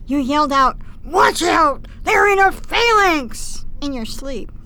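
High-pitched female sidekick played by an actress, caring, affectionate, animated intonation, unhurried, radio play. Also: full character voice, low-quality recording. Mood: fond, teasing. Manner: affectionate, concerned. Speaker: actress in an audio drama. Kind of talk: radio theatre acting.

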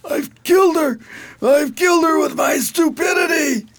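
High, gravelly, anguished dying gambler. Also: male voice, normal speed. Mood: anguished confession. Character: ailing, guilt-ridden gambler brother, frantic and confessional. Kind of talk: amateur radio drama acting.

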